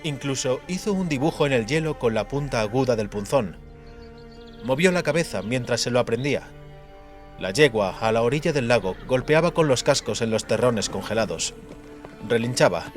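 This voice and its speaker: A man's voice: medium pitch, animated, moderate pace. Male audiobook narrator over music. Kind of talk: scripted fantasy narration.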